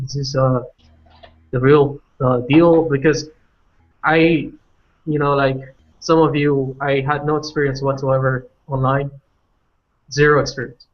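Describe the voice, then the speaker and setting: A young man from Colombia, telling his own story on a panel and restarting his sentences often. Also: medium pitch. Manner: halting, many restarts and fillers. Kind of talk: personal story on a webinar. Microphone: video call. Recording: dull lo-fi video call audio.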